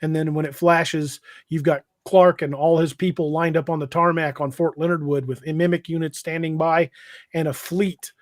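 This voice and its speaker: A man casually hosting a livestream, reading out comments and chatting in a halting, hesitant way. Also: a little high, little pitch movement, no echo, studio quality. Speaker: podcast host and novelist. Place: indoors on an internet livestream video call.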